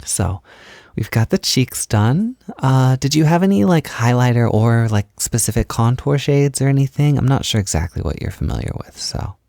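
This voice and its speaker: A man playing a tender, encouraging partner in an intimate roleplay audio, speaking softly in a gentle, hesitant, reassuring way. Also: mid-range voice, expressive, moderate pace, no echo, clean studio sound. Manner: gentle, reassuring, hesitant, with breathy whispered moments. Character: tender, encouraging man comforting partner while doing makeup. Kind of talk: intimate roleplay audio, soft ASMR talk.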